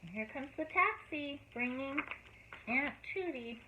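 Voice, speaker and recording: A teacher reading a picture book aloud to children, expressive and asking them questions along the way. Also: female voice, high-pitched, a little slow. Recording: tinny phone-like audio, faint noise.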